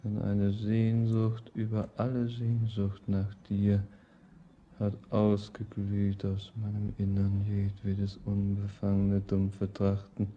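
A man's voice: fairly low pitch, completely flat delivery, takes it slowly, mellow. An actor playing a yearning, world-weary man bidding farewell to life in a solemn, declamatory verse monologue for German radio drama. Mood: solemn longing. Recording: muffled old radio recording, faint noise.

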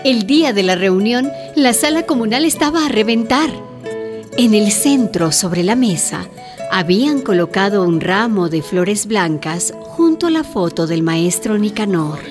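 Female radio play narrator, medium pitch, scripted, music clearly behind. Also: lively intonation, a little slow.

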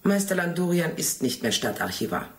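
An actress playing a stern, rule-bound archive gatekeeper in a radio play, formal and measured. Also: female voice, on the low side, sing-song.

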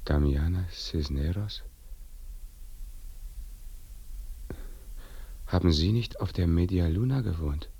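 An actor playing an uneasy newcomer in a ghostly radio play, questioning in a gravelly, husky voice. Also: male voice, low-pitched, normal speed, mellow, full character voice. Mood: curious. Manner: questioning, unsettled. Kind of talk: radio drama dialogue.

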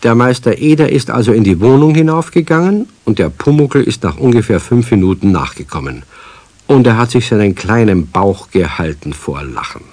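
A man narrating a children's radio play as a wry storyteller, measured. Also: lowish voice, expressive, a little mellow, dry recording. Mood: amused.

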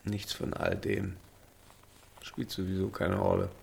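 A man's voice: sits low, monotone, unhurried, vocal fry, a little mellow, slight background noise, full character voice. An actor playing a lonely, tipsy middle-aged father in a radio drama, wry and bitter, with a rambling, self-mocking way of talking. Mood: wry, resigned. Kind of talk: naturalistic radio drama acting.

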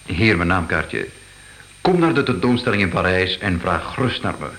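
Low-voiced, pompous official. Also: male voice, animated, normal speed, a bit bright. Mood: assured. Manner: pompous, courteous. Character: grandiloquent, self-assured inspector of historic monuments. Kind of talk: radio drama acting. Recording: faintly noisy old radio drama.

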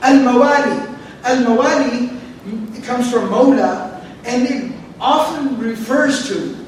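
A man with a very high voice gives a lecture on scripture. He explains each word carefully and repeats phrases for emphasis, often drifting into tangents. He stands some distance from the mic in a very echoey room, with faint background noise. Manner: repetitive, explains word by word, digressive. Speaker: Quran teacher.

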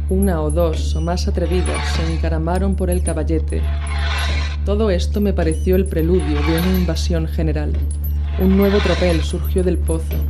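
A woman narrating a dark classic horror story in a measured, grave, deliberate reading. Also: on the low side. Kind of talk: scripted dramatic story reading.

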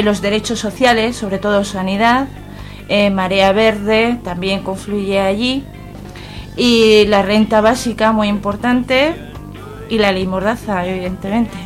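A woman with an accent from Spain, an activist guest in a radio interview, speaking conversationally in hesitant, piecemeal phrases. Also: mid-range voice. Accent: Spanish from Spain.

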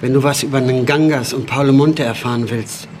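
An actor playing a knowing friend who explains cult lore with assurance in a radio drama. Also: male voice, mid-range voice, steady tone, moderate pace, darkish tone, a little hiss or hum, lightly acted. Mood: knowing, intrigued. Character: knowing male friend, expert on Cuban cults. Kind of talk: German radio drama acting.

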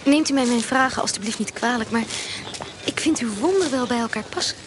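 An actress playing a modest, innocent young niece in a radio play, polite, earnest and full of hesitant questions. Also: female voice, a little high, brisk, background noise, lightly acted. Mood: shyly curious. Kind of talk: Dutch radio play acting.

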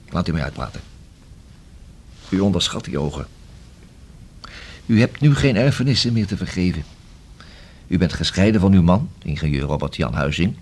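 A police inspector in a radio drama. His voice is very low and somewhat raspy, with animated rises and falls. He is courteous yet blunt, laying out his case deliberately. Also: full character voice. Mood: courteous, persuasive. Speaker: actor in a radio play. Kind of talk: Dutch radio drama dialogue.

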